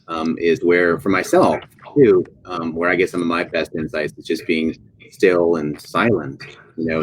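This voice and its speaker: A man with a low-pitched voice hosts a casual interview over some light background noise. His delivery is halting, with repeated words as he feels his way through each thought. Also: rough recording. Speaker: interview moderator. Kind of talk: casual live-stream interview.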